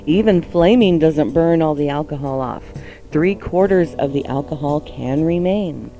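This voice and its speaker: A woman's voice: deep voice, unhurried, warm tone, dull audio, faint music behind. A playful woman hosting a radio cooking show, exclaiming and often laughing through her banter and reading.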